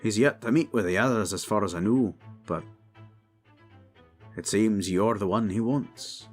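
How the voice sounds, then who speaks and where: Man playing a slow, gruff, hearty dwarf king. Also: fairly low pitch, faint music behind. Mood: proud, matter-of-fact. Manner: blunt, proud, commanding. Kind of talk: fantasy audio roleplay acting.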